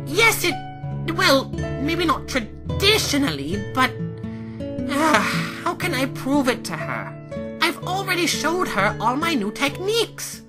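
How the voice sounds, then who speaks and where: A woman's voice: high-pitched, big pitch swings, unhurried. A woman voicing a boastful, innocent, earnest male skeleton in a comic dub, with a theatrical delivery. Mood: flustered, hopeful. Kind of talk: comic dub character acting. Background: music.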